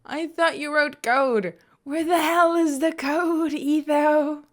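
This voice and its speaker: A woman voicing a playful, excitable young male college student in a story, animated and mock-indignant. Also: sits high, slow, pitched above the performer's own voice. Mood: indignant, complaining.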